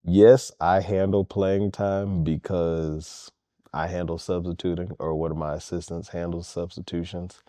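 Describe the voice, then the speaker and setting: Earnest male coach in an interview; low, dark voice, dry close sound. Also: flat delivery, unhurried, no echo. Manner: earnest and emphatic, repeats key points. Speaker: head basketball coach. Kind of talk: interview answers.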